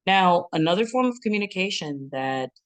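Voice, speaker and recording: A relationship educator with a deep, booming chest voice, giving a lively instructional talk. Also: normal speed. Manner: lively, breaks into acted quotes and laughs.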